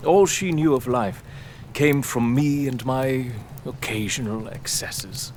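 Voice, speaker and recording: An actor playing an arrogant, sardonic aristocratic lord in an audio drama, with a British accent and a pompous, theatrical manner. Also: male voice, medium pitch, takes it slowly, a little mellow, a little hiss or hum, natural voice. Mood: cynical, lecturing.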